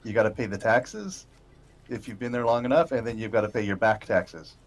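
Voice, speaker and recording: A man's voice: fairly low pitch, expressive, a little fast. A man in a group gaming chat, speaking casually with a joking, anecdotal style. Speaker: player in a group gaming stream.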